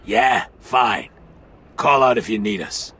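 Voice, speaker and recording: An actor playing a cocky, rough hired thug in a video game, with a husky voice. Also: male voice, lowish voice, slow, a bit bright, heavily acted.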